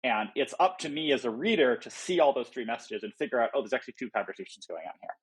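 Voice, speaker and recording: A man's voice: medium pitch, lively intonation, quick pace, slightly rough, low-quality recording. A man giving informal, explanatory interview answers about a product, often voicing imagined quotes as he goes. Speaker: software company founder, podcast guest.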